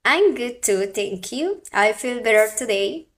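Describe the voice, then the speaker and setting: Young Colombian woman, coastal-Santander accent, slightly high voice, unhurried and teasing, phone livestream host. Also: lively intonation. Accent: Colombian coastal mixed with Santander. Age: young adult.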